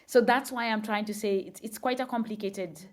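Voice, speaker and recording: A woman's voice: mid-range voice, a little fast. A woman, a guest on a podcast interview, explaining thoughtfully with examples and frequent fillers. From Kenya.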